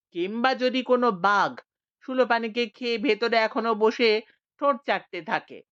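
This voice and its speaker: A woman voicing a boastful retired male police inspector who talks in a booming, swaggering way, in a storybook reading. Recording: dull lo-fi dry recording.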